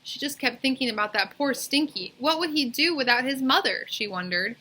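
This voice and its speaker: A woman reading a children's story aloud as a teacher, in expressive narration. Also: high-pitched, a little fast, husky.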